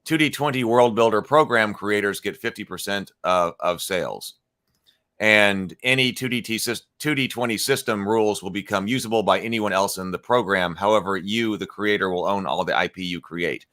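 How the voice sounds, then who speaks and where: A man with a lowish voice and a bright, forceful edge hosts a relaxed live stream. He talks off the cuff, restarting sentences and adding fillers as he thinks. Between thoughts he answers his viewers. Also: normal speed. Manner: rambling, thinks aloud with frequent restarts and fillers; reads viewer chat comments aloud and replies. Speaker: livestream host. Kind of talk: casual live-stream chat about tabletop gaming.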